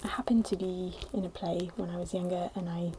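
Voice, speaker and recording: A young woman with a put-on posh British accent, whispering a gentle, rambling ASMR chat into a close microphone. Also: on the low side, steady tone, a little fast, noisy background. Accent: put-on posh British English. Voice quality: whispered, hushed. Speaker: ASMR creator. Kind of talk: soft-spoken ASMR chat. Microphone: close sensitive ASMR microphone.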